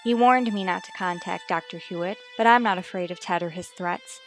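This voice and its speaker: A woman's voice: lowish voice, soft background music, natural voice. Actress as a guarded, formal radio-soap mother. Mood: quietly defiant. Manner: formal, measured, polite. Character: anxious, determined married mother, politely guarded. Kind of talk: vintage radio soap opera acting.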